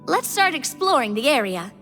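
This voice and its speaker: A woman's voice: high-pitched, sing-song, normal speed, a bit bright, faint music behind. A woman playing a cheerful, curious, adventurous young woman in a video game. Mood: eager. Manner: upbeat, curious.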